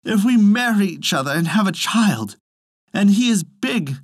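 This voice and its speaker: Reader putting on a fretful silly young woman, crying high and sing-song, storybook, dry close mic. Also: expressive, unhurried, dry recording. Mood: tearful. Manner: high, wailing, lamenting. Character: weepy foolish young woman, fretting.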